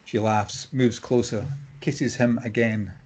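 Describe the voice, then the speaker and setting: Casting: male narrator for screenplay directions, husky, mid-pitched, scripted storytelling read. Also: steady tone, slow pace, darkish tone. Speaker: narrator reading stage directions.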